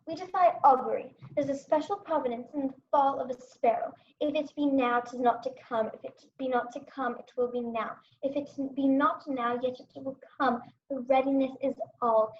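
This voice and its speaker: High voiced brooding young prince played by a girl in her student years, shakespeare radio play, muffled noisy recording. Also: fairly even intonation, normal speed, few pauses, close to an everyday voice. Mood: brooding, resigned. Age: young adult. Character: Hamlet, resolute young male prince, brooding and vengeful. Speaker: student actor.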